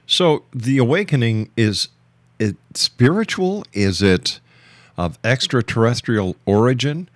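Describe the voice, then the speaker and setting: A man hosting a radio interview, putting probing questions in deliberate, broken-up phrases. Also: mid-range voice, expressive, no room sound. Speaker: radio show host, interviewer.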